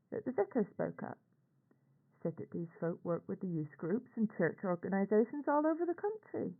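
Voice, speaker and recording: An actress playing a middle-aged working-class aunt with a Northern English accent, confiding in a halting, colloquial way in a horror audio log, through a radio-like filter. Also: female voice, on the high side, husky, full character voice. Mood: uneasy. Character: working-class English aunt, a nurse, anxious, ashamed, recounting events. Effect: radio or telephone-like filter. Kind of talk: scripted confessional monologue for horror audio log. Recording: tinny, band-limited audio log recording.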